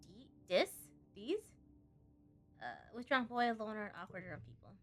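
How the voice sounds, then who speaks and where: A woman streaming a game live, chatty and expressive, reading the on-screen story aloud and tossing in playful asides. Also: mid-range voice, normal speed, faint music behind. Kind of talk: live let's-play commentary, reading game text aloud.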